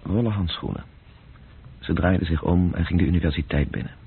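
A man narrating a literary radio drama in a measured, descriptive way, with a deep, gravelly voice. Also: full character voice. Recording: muffled narrow-band radio play recording.

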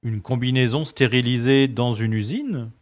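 A man's voice: mid-range voice, normal speed, natural voice. A man playing a suave, self-important special agent, wry and drily polite, in a science-fiction audio drama. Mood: curious, examining.

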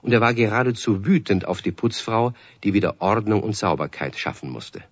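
A man's voice: lowish voice, brisk, breathy edge, dry recording. A man narrating a scripted children's tale as a genial storyteller in a 1970s radio play. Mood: storytelling. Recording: dull dry vintage radio recording.

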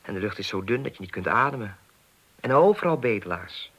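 A man's voice: lowish voice, expressive, a little fast, fairly bright tone, slightly rough. A man playing an awkward, earnest young idealist in a Dutch radio play, speaking hesitantly with stammers. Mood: troubled. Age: 20s. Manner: hesitant, stammering, agitated when recounting.

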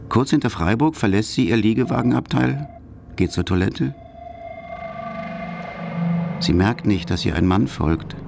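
A man narrating a radio documentary from a script, sober and in deliberate short phrases. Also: fairly low pitch, lively intonation, creaky, over music.

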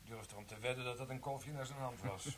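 An actor playing a curious police sergeant in a radio play, dry and inquisitive. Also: male voice, medium pitch, quick pace, a bit bright, a little hiss or hum, close to an everyday voice. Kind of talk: broadcast radio play acting.

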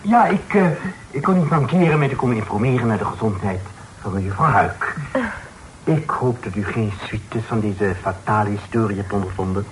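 An actor playing an affected, very formal gentleman caller, speaking ceremoniously in a period radio drama. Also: on the low side, lively intonation, moderate pace, close to an everyday voice, poor audio. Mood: courteous, formal. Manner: affected, ceremonious, French-sprinkled speech. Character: mannered gentleman suitor paying a formal call. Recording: old radio recording with faint noise.

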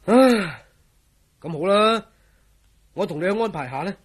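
A man playing a scoffing, jovial friend in a radio drama, teasing with drawn-out exclamations. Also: sits high, animated, slightly rough, full character voice. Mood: reluctant, joking. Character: scoffing, jovial man, mocking a believer in legends. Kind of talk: Cantonese radio drama acting.

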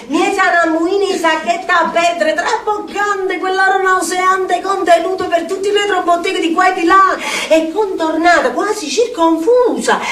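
An actress playing an eccentric, earthy Neapolitan storyteller in a declamatory theatrical monologue, with a strong Neapolitan accent. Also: female voice, very high voice, a bit bright, heavily acted. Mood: mournful, grotesque. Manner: declamatory, dramatic, sing-song repetitions. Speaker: stage actress. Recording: echoey theatre stage, distant mic, faint noise.

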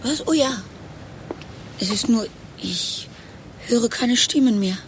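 High-voiced, puzzled office woman. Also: slow pace, a little hiss or hum. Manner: questioning, hesitant. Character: puzzled, naive female office colleague. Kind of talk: radio play dialogue.

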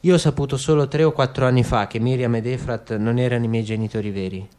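A man's voice: mid-range voice, fairly even intonation, fast, a little mellow, close to an everyday voice. A man playing a defiant young soldier in a radio drama, speaking with clipped, peremptory firmness. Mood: controlled, resolute. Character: tall young male soldier, stiff, defiant, sharp. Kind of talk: literary radio drama acting.

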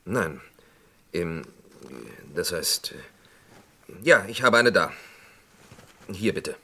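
An actor playing an evasive chief chemist under suspicion in a vintage radio crime drama, giving short, guarded answers. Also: male voice, low voice, lively intonation, extremely slow, bright, forceful tone, slightly rough, full character voice.

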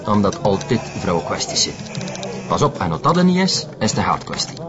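Chatty Flemish barkeeper, swinging pitch. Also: male voice, sing-song, fairly quick, dull audio, over music. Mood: cocksure, gossipy. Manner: folksy, nosy, cocksure. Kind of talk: TV crime drama dialogue.

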